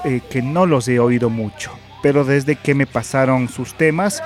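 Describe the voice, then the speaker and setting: A man hosting a podcast interview, speaking haltingly and often repeating words. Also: on the high side. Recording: music playing behind the voice. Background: music.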